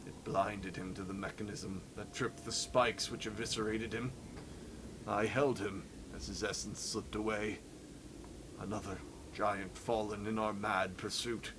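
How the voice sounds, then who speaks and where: A man's voice: on the low side, steady tone, background noise, voice pushed down, lightly acted. A man voicing a weary, vengeful lone warrior in a solemn, dramatic read-along of a game journal. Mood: grim, weary. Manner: solemn, dramatic, slow declaiming.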